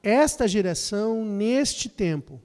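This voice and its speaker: A man's voice: high-pitched, expressive, slow, a little mellow, clean tone. Hesitant male interpreter in a hall. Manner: hesitant starts with fillers and repeated words. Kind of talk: consecutive interpreting of a lecture. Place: lecture hall. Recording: long hall reverb.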